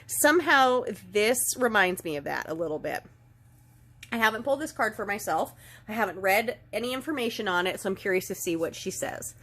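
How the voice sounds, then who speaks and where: Gentle woman, a bit stuffed up. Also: medium pitch. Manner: gentle, earnest, reads passages aloud. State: stuffed up, slightly nasal. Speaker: oracle card reader. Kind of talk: casual spiritual card reading.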